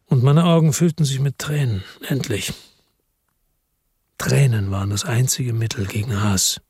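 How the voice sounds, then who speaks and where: Husky man narrating, brooding. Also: mid-range voice, darkish tone, no echo, studio quality. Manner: measured, brooding, melancholic. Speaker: audiobook narrator. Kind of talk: first-person literary narration.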